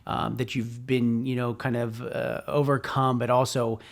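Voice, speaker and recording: Halting male podcast host. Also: mid-range voice, level tone. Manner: halting, many fillers, praising. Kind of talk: interview questions.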